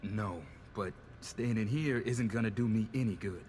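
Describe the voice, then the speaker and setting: Determined American tech sidekick for a game, male actor, lowish voice, casual, resigned, faint rumble. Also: moderate pace, darkish tone, lightly acted. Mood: resolved. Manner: casual, matter-of-fact. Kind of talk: video game cutscene acting.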